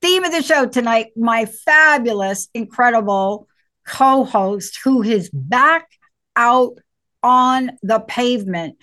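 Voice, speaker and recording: A woman's voice: on the high side, expressive, pushed and bright. Bubbly middle-aged woman co-hosting. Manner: playful, bubbly, rapid bursts. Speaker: co-host, psychologist. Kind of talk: call-in radio show chat.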